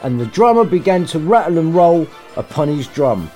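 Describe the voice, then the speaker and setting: A man's voice: a little high, very animated, normal speed, dark tone, soft background music. A man narrating a storybook comically, with irreverent, sarcastic, sweary asides and a theatrical manner. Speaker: story reader and podcast host.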